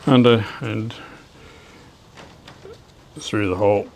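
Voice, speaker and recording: A man giving a casual hands-on how-to as a hobbyist, talking haltingly as he works with his hands. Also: lowish voice, fairly even intonation, gravelly, a little mellow, slight background noise, poor audio. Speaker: ham radio hobbyist presenting DIY tips.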